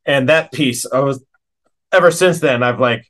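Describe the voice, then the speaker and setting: A man's voice: mid-range voice, moderate pace, a bit bright. A middle-aged man chatting casually as an interview guest, telling stories in an enthusiastic, informal, slangy way.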